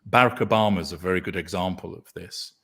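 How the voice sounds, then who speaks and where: Man, deep baritone, measured guest commentator with dry humor. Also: gravelly, fairly bright tone. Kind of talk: casual chat.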